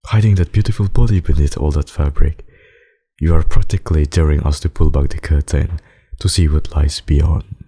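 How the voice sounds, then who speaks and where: A man voicing a suave, possessive, menacing vampire in an ASMR roleplay, with formal, courtly, seductive speech and a gravelly, husky voice. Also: extremely low pitch, steady tone, moderate pace, warm tone. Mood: teasing, menacing. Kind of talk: ASMR roleplay, dramatic monologue.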